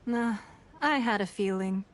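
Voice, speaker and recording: A woman's voice: mid-range voice, close to an everyday voice. A woman as a poised, enigmatic noblewoman in a game. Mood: gracious, teasing. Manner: measured, formal, coolly composed. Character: poised, enigmatic noblewoman leader, calm and shrewd. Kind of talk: video game story dialogue acting.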